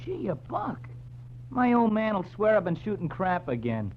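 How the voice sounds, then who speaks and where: High-voiced, cheeky street kid. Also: male voice, huge pitch swings, unhurried, exaggerated voice. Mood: chatty, eager. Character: cheeky, streetwise neighborhood boy. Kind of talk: 1940s radio detective acting. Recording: dull, noisy 1940s radio recording.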